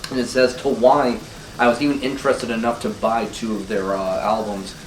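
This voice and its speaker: A young man with a sore throat talking casually and ramblingly about records he collects, recorded on a low-quality camera mic. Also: level tone, moderate pace. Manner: laid-back, rambling, swears casually. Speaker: vinyl collection vlogger. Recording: roomy, distant camera mic, faint noise.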